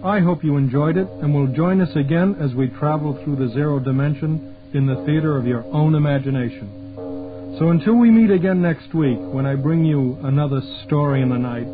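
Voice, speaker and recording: A man hosting a radio anthology, reading a scripted narration. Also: a little high. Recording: thin phone-like sound with music. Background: clear music.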